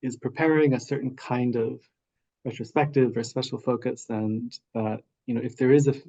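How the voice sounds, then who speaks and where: Hesitant man with mellow voice, muffled call. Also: medium pitch, fairly even intonation, moderate pace. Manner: hesitant, full of ums and uhs. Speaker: festival programmer on a panel. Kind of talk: casual panel discussion over video call. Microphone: video call microphone.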